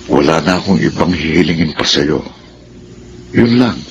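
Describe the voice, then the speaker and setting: A middle-aged Filipino dad in a radio drama. His voice sits very low, grave and earnest, stern yet loving, over clearly audible noise. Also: lively intonation, normal speed, dull audio. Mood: solemn, loving. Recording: noisy radio drama recording.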